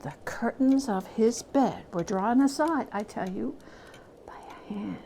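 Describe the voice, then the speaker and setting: Woman reading a story slowly and dramatically. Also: a little high, animated, creaky, slight background noise. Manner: theatrical, lingering on words, dropping into hushed near-whispers. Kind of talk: dramatic reading of literary narration.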